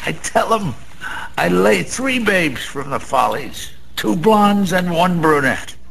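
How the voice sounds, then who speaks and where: A man's voice: high-pitched, big pitch swings, slow, creaky, fairly bright tone, heavily acted, rough recording. An actor playing a bitter, sentimental, down-on-his-luck American small-time gambler in a radio drama, talking in rambling, world-weary slang. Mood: bitter, mournful. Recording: faint background noise.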